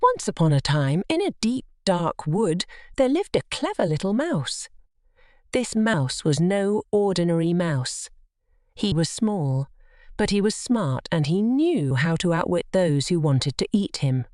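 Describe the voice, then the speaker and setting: Low-voiced, expressive woman storyteller. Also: measured pace, no room sound, clean studio sound. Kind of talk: scripted children's story narration.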